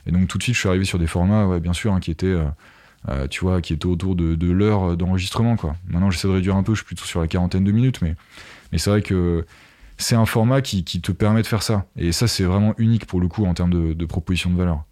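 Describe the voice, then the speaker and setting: A man's voice: deep voice, fast talker, a little mellow. A man talking casually in an interview as a podcaster, explaining his ideas conversationally with asides to the listener.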